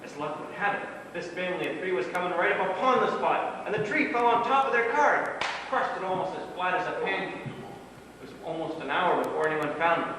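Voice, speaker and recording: Man acting an earnest folksy dad, stage play, raised pitch, rambling delivery, noisy reverberant hall. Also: lively intonation, a little fast. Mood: earnest storytelling. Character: folksy father spinning grim storm tales, earnest and nosy. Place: small theatre stage, recorded from the audience. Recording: echoey theatre stage from far off, noisy.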